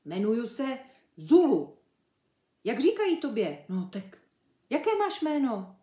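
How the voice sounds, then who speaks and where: Storybook chimp, male, young, cocky show-off played by a woman; very animated, pushed-up chatter in an echoey room. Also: fairly high pitch, pitched above the performer's own voice. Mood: brash greeting. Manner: brash, chatty, showing off. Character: cheeky boastful young male chimpanzee, circus runaway. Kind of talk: children's story character reading. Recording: roomy echo.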